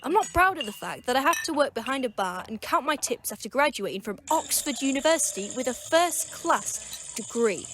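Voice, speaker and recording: A woman's voice: high-pitched, big pitch swings, brisk, a little hiss or hum. A woman acting a prickly, defensive young solicitor who works behind a bar, in an amateur audio drama, curt and indignant. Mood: hurt, defensive. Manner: curt, indignant, sharp-tongued.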